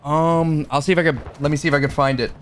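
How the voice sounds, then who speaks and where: A young man streaming a game from a home room, teasing his teammates in a playful way and breaking into excited callouts. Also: fairly high pitch, quick pace, a little hiss or hum. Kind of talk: live gameplay commentary.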